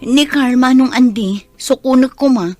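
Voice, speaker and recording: A woman's voice: high voice, unhurried, a little mellow, soft background music. A Filipino-accented woman playing a hurt, suspicious wife in an emotional, pleading radio drama style. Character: hurt, suspicious wife confronting her straying husband.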